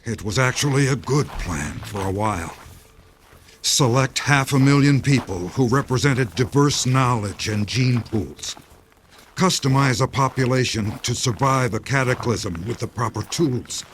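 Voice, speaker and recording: An actor playing Jerry, a weary, resigned insider explaining the end of the world in a grave radio-drama manner. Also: male voice, medium pitch, measured pace, slight background noise, lightly acted. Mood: bitter, explaining. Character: Jerry, weary male think-tank insider facing doomsday, resigned.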